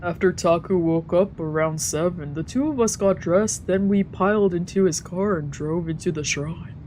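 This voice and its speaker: A woman's voice: on the low side, moderate pace, darkish tone, a little hiss or hum. A woman, a streamer narrating a visual novel in a let's play. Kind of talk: let's play, narrating and voicing translated lines.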